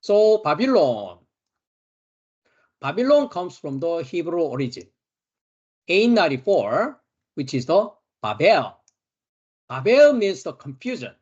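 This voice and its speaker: A man with a Korean accent, a Bible teacher giving a study lecture, slowly and emphatically repeating key words. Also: on the high side, sing-song, a little mellow, slightly rough, highs cut off.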